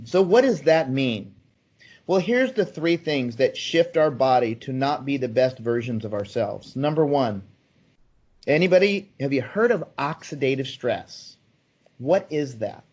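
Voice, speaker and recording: A man's voice: medium pitch, animated, a little slow, darkish tone, breathy edge. A man in his sixties, a guest in an interview, talking eagerly and persuasively with frequent ums and restarts. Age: 60s. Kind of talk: conversational interview answers. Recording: slightly dull, muffled sound.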